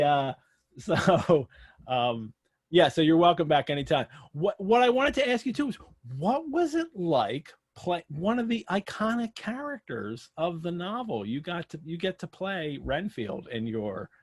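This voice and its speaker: A man's voice: fairly high pitch, no room sound, clean studio sound. An American man hosting a live online show, chatting through announcements and questions in a conversational way. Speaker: host of an online literary show. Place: remote online video call.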